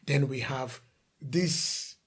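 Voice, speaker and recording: A man's voice: medium pitch, extremely slow, breathy edge. A man from The Gambia preaching a sermon as a pastor, emphatic, asking rhetorical questions and repeating lists of phrases.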